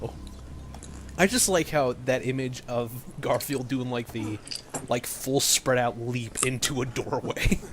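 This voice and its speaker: A man co-commentating in casual, joking banter with halting, rambling riffs. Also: mid-range voice. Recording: faintly noisy home gaming recording.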